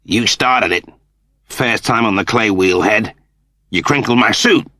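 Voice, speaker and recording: A man's voice: on the low side, a little slow, pushed and bright, dry recording, clean studio sound. A man voicing a vain, cocky humanoid cat with a slangy, offhand manner in a sci-fi audiobook. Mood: vain, combative.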